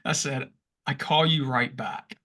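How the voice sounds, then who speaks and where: A young man co-hosting a podcast, telling stories in a casual, animated way and acting out what he shouted. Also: medium pitch, measured pace. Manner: animated, acts out past shouts; rambling with restarts and laughs. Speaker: podcast co-host. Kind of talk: casual storytelling chat.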